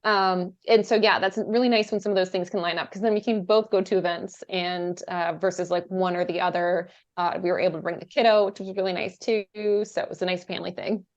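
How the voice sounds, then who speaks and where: A woman's voice: on the low side, steady tone, fairly quick, few pauses. A woman from Canada, a podcast guest, chatting in a friendly, easygoing way. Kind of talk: casual interview chat. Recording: dull lo-fi sound.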